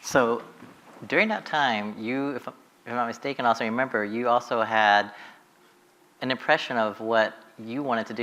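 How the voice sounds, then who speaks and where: A man interviewing a guest on stage asks his questions haltingly, often repeating words. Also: mid-range voice, bright, forceful tone, highs cut off.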